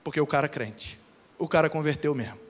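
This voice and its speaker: A man's voice: a little high, fast talker, pitched below the performer's own voice, natural voice. A man acting out an unbothered woman prophet who speaks bluntly and colloquially, matter-of-fact about doom. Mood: blunt, ominous. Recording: long hall reverb.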